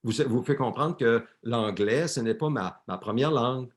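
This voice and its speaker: Man, church interpreter, mid-pitched voice, repeats words, self-corrects mid-phrase. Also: normal speed. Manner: searching, repeating words as he translates. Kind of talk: live sermon interpretation.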